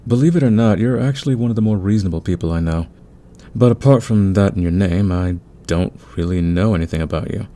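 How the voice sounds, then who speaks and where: A man playing a calm, friendly veteran thief in an ASMR audio roleplay, speaking in a patient, soothing, conversational way. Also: low-pitched, fast, natural voice. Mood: friendly, easygoing. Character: calm, friendly veteran male thief smoothing over team tensions.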